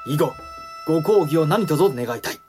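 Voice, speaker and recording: Samurai clan head, male actor, period audio drama: stately, frank, formal archaic diction, fairly high male pitch over music. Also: expressive, normal speed, a little mellow, natural voice. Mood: courteous, dignified. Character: dignified samurai clan head, frank protective elder brother.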